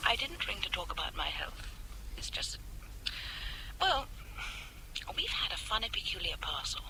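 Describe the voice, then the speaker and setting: An actress playing a spirited young English wife with an English accent in a radio drama. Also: female voice, medium pitch, sing-song, moderate pace, creaky, heavy background noise. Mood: secretive, intrigued.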